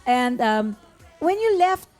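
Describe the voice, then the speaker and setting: A woman hosting an interview, chatty and friendly, firing off quick follow-up questions. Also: high-pitched, big pitch swings, darkish tone, faint music behind. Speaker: talk show host.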